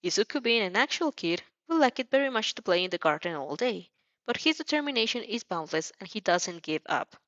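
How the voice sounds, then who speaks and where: A woman's voice: medium pitch, big pitch swings, brisk, fairly bright tone, no echo. Woman narrating fan fiction, dry dull recording. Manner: stumbles and rereads phrases. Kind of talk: expressive amateur audio story narration.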